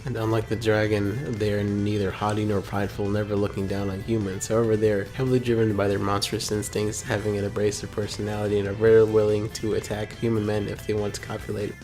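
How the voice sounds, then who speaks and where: A man's voice: on the low side, monotone, fast talker, hardly any pauses. A man co-hosting a casual, informal show, chatting and reading aloud with commentary. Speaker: co-host. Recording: loud guitar music behind. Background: guitar music.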